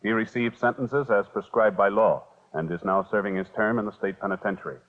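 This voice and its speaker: Male American announcer, lowish voice, formal measured delivery, vintage radio recording with dull sound. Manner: measured, official. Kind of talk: formal 1950s radio scripted narration. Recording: muffled old radio recording, faint music.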